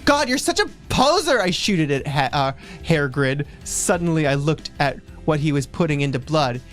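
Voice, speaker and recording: A woman's voice: deep voice, animated, moderate pace, faint music behind. A woman co-hosting a livestream, giving a mock-dramatic reading of fan fiction, theatrical and stumbling over words, with asides thrown in. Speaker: livestream co-host.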